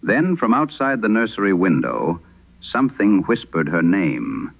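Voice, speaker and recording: A man performing as a late-night radio host, solemnly reading an eerie letter in measured, deliberate phrases through an old radio filter, in a vintage horror drama style. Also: sits low, sing-song, vocal fry, heavily acted. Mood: grave, haunted. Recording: tinny band-limited old radio sound.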